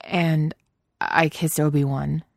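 A woman's voice: low voice, level tone, slow pace, gravelly, no room sound, clean studio sound, full character voice. A woman reading a story aloud, voicing a flustered, guilty young man in her own voice.

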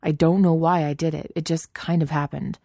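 A flustered young man stumbles over his words in guilt, as a woman reads him in her own voice. The tone is low and dark, close and dry with no echo. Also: unhurried. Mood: flustered, guilty.

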